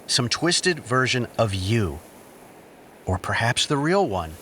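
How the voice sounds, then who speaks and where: An actor playing a bitter, cryptic exiled schemer in an audio drama, speaking in a measured, theatrical way with pauses. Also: male voice, medium pitch, very animated, a bit bright. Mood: grave, accusing. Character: exiled bitter brother, cryptic accused schemer demanding sanctuary. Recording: faint background noise.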